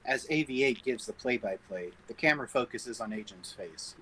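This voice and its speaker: A man narrates stage directions at a script table read. His voice is bright and forceful with a husky, rough edge, at a middling pitch. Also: expressive, moderate pace, poor audio.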